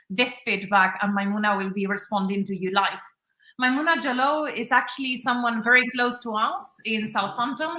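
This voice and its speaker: Bright-voiced Spanish-accented woman, roomy sound. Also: mid-range voice. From southern Spain. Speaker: academic event chair. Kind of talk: formal guest introduction.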